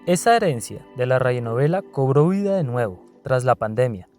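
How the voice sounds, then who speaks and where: A man's voice: medium pitch, big pitch swings, a little fast, darkish tone, faint music behind. A man reading scripted documentary narration.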